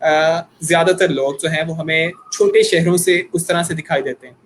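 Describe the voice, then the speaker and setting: A man's voice: fairly high pitch, fairly even intonation, normal speed, fairly bright tone. Pakistani man explaining over noisy call. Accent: Pakistani Urdu. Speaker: guest, YouTuber and anchor. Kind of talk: online discussion. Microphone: video call.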